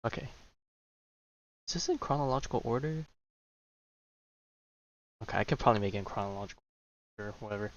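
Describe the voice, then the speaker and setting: A man's voice: lowish voice, fairly quick, pauses often. A man giving casual, offhand commentary as he streams a video game, with muttered asides. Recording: slightly dull microphone, game music behind. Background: game music.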